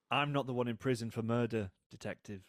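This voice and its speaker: A man's voice: medium pitch, no echo, natural voice. Guy playing a taunting british brother out for revenge, cold and mocking, radio drama, super dry sound. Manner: mocking, unhurried, goading. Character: taunting, cold, vengeful brother accused of violent crime. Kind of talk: audio drama acting.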